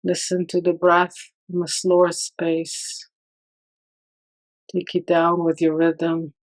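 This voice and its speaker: Slow, even-toned woman guiding meditation. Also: lowish voice, poor audio. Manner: calm, soothing, with long pauses. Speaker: meditation guide. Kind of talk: guided meditation.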